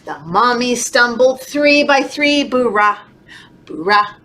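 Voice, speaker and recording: A woman leading a children's story time, reading aloud in an animated, encouraging, sing-song way, as if talking to toddlers. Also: a little high, slow. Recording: clearly noisy background.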